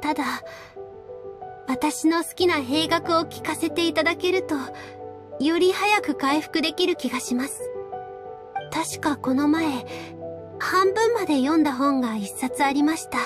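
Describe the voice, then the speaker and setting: Game casting: reserved young priestess, high soft voice, weary, courteous, slow delivery, music behind. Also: female voice. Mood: tired, explaining. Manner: soft, polite formal phrasing. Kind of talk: video game voice acting. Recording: background music under voice.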